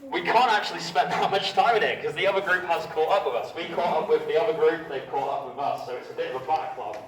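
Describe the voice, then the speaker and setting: A man's voice: a little high, quick pace, a bit bright. A man working as a cave tour guide, addressing a group informally with wry, jokey asides. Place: inside an underground cavern. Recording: roomy cave echo, distant, group noise. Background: crowd of tour visitors.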